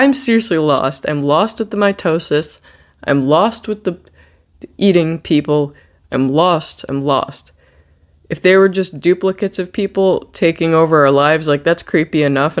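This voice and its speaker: Casual, sweary horror movie reviewer. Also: mid-range voice. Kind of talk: casual movie recap commentary.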